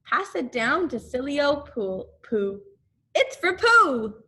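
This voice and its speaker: A woman voicing an excited, affectionate young boy in a children's storybook, announcing things emphatically. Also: sits high, slow pace, voice pushed up, exaggerated voice. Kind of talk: children's storybook read-aloud.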